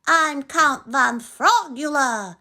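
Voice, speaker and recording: A woman's voice: sits high, takes it slowly, pushed and bright, pitched above the performer's own voice, exaggerated voice. A woman voicing a cheeky, eager young boy frog in a theatrical, exclamatory storybook style. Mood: boastful, excited. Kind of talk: animated children's storybook character voice.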